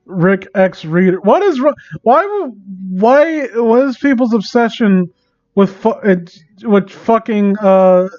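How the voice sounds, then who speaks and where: Male livestreamer, high voice, unhurried halting pace, sarcastic exasperated commentary, sweary. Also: animated, a little mellow, highs cut off. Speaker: live streamer reading and mocking online fan fiction. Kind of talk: casual livestream reading and commentary.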